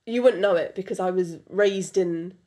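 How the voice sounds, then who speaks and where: A woman's voice: lowish voice, normal speed, dark tone. A young woman with a British accent, French her first language, hosting a podcast in a rambling casual chat full of fillers. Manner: rambling, many fillers and restarts. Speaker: podcast host.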